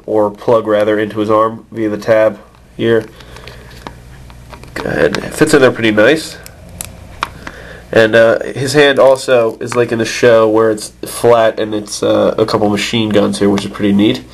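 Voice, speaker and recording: A man's voice: fairly low pitch, little pitch movement, normal speed. A man with a stuffed-up nose from a cold, casually reviewing an action figure with many fillers and restarts. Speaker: toy reviewer.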